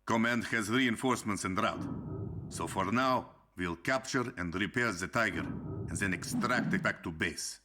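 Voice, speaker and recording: An actor playing a stern Soviet army commander in a war game, giving a dramatic briefing. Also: male voice, lowish voice, moderate pace, pushed and bright, a little hiss or hum. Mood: resolute.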